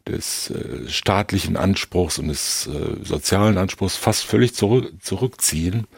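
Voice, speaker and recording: A man discussing film reflectively in halting, long-winded, analytical sentences. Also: sits low, expressive, gravelly, husky, no room sound, clean studio sound. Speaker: podcast co-host discussing films.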